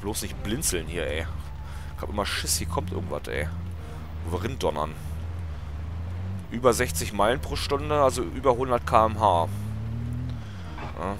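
A man's voice: on the low side, normal speed, long pauses, background noise. A German man with a slight cold and a stuffy nose, chatting casually and rambling with fillers while he commentates his gameplay. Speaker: let's play gamer.

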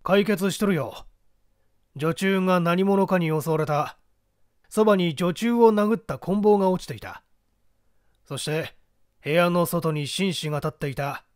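A man's voice: sits high, a little slow, long pauses, no echo, clean studio sound. A man playing a condescending, self-assured police detective with a pompous manner in an audio mystery story. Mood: confident, dismissive.

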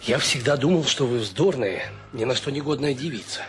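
An actor playing a stern, proud young ship's officer with a severe manner in a comedy radio play. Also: male voice, medium pitch, expressive, normal speed, a bit bright, faint music behind, natural voice. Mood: reproachful. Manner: severe, reproachful.

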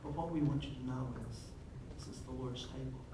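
A man in his forties leading a communion prayer as pastor, earnest and measured, his phrases trailing off softly. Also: mid-range voice, fairly even intonation, noisy background. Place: church sanctuary with stage. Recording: echoey sanctuary heard from far off.

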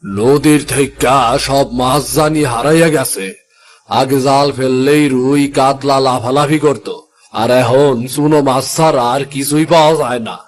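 A man's voice: mid-range voice, fairly even intonation, a little slow, soft background music, natural voice. A man voicing a tired, kindly poor fisherman father with a rural Bangladeshi accent in a cartoon. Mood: weary. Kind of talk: cartoon voice acting.

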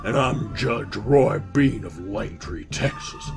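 A man's voice: fairly low pitch, lively intonation, slow, creaky, over music, full character voice. A man voicing a grizzled, menacing old Texas judge with an Old in a cartoon comic dub. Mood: drawling threat. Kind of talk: fan comic dub acting.

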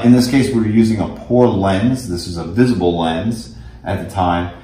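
Low-voiced American man in an echoey room. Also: a little mellow. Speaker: presenter, camera company specialist. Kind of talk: technical product presentation, explaining slides. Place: small indoor office or meeting room, beside a screen.

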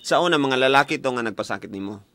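A man's voice: medium pitch, lively intonation, fast talker, pushed and bright, no room sound. A young Filipino man with a Cebuano accent, hosting a casual call-in radio chat in a playful, teasing way on a studio mic. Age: young adult. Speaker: radio host. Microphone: boom-arm studio mic, headphones. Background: music playing.

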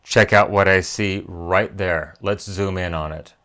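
Male repair-tutorial host, lowish pitch, methodical step-by-step delivery. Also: steady tone, a little slow, bright, forceful tone. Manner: methodical, step-by-step, thinking aloud. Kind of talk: hands-on repair tutorial narration. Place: indoor electronics workbench.

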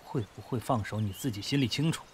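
A man's voice: on the low side, quick pace, a little mellow, a little hiss or hum, lightly acted. A man playing a crude, menacing, mocking gangster kidnapper in an audio drama, taunting and foul-mouthed. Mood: mocking menace.

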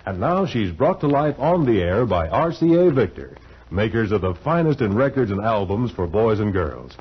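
A man with a low, very animated voice delivers scripted 1940s radio announcing in a theatrical style. The recording is muffled, with faint hiss. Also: moderate pace, breathy edge.

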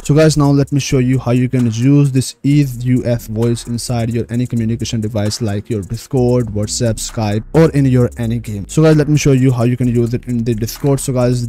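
A man's voice: mid-range voice, warm tone. A man narrating a screen tutorial as host, repeatedly addressing viewers directly. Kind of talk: screen-recorded tutorial voice-over.

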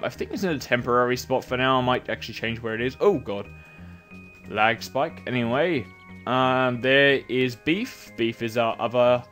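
A man giving casual, rambling commentary over a video game while sounding nasal from a cold, with bursts of excited yelps. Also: mid-range voice. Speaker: gameplay commentator.

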